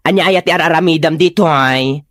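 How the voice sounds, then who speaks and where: A woman's voice: sits low, brisk, natural voice. A woman playing an irritated store owner who scolds and shoos loiterers, brusque and exasperated, in a comedy radio drama. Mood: exasperated scolding.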